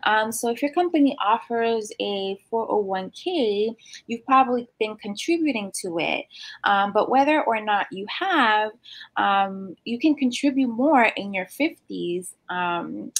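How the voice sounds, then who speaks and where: A woman's voice: mid-range voice, lively intonation, measured pace. A woman co-hosting a casual finance chat over a video call from her living room, explaining step by step with frequent ums. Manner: explanatory, frequent "um" while laying out facts. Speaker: personal finance co-host. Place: home living room, indoors. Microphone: video call webcam.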